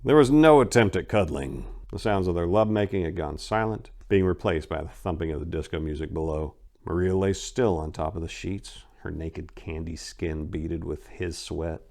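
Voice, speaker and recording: A man's voice: low-pitched, moderate pace, hardly any pauses, darkish tone. A man narrating a crime short story as a dramatic reading, with theatrical, emphatic delivery.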